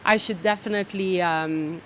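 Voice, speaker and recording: A woman's voice: fairly low pitch, fairly even intonation, moderate pace, a little hiss or hum. A woman from Belgium whose first language is Dutch, chatting reflectively and self-deprecatingly as an interview guest. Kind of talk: casual interview conversation.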